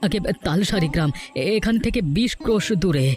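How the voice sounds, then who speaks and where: A proud, homeless village father from a cartoon folk tale , acted by a man. His voice sits a bit high and he declaims earnestly. Light music plays underneath. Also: lively intonation, a little fast, darkish tone. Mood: pleading, polite. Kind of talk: Bengali cartoon folk-tale dialogue acting.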